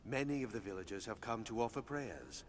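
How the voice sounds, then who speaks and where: A man's voice: fairly low pitch, animated, a little fast, crowd noise, close to an everyday voice. A man playing a humble, devout novice village priest in a fantasy video game, with formal, earnest, archaic speech. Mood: troubled, humble.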